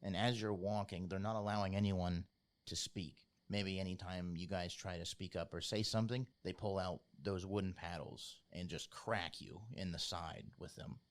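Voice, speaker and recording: A man narrating a tabletop role-playing game as game master, in a measured, informal, descriptive storytelling style. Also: deep voice, fairly even intonation. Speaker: game master, podcast host.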